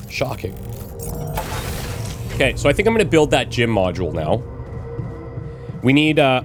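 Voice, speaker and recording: A man with a slight cold and a touch of rasp talks through his game plan, thinking aloud with plenty of fillers. Faint music plays underneath. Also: fairly low pitch. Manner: musing aloud, planning with fillers. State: slight cold, a bit raspy. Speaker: let's play host. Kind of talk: casual gameplay commentary.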